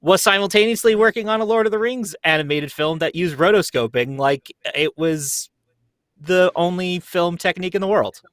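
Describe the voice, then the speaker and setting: A man's voice: sits high, moderate pace, fairly bright tone, no room sound, clean studio sound. A man in his thirties chatting casually and telling stories in a rambling way, often with a chuckle. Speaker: podcast cast member. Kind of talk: casual chat. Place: basement.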